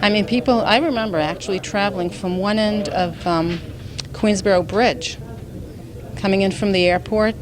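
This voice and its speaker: A woman's voice: medium pitch, normal speed, poor audio. Enthusiastic middle-aged woman from Hungary. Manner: warm, enthusiastic, laughs easily. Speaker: communications manager. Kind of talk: casual interview. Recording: chatter from a busy crowd behind.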